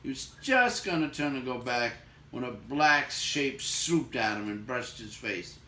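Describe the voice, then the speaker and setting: A man's voice: mid-range voice, lively intonation, unhurried. Man reading a story in an echoey room. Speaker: storybook reader. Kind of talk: expressive read-aloud narration of a fantasy novel. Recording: echoey room, distant mic, faint noise.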